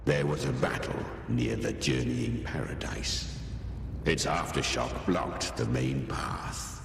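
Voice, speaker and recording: An actor playing a composed, grave and cryptic man in a game cutscene, speaking in a measured, knowing, formal way. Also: very deep voice, vocal fry, full character voice. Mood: cool, wary. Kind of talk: video game cutscene acting. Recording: echoey, distant hall with faint noise.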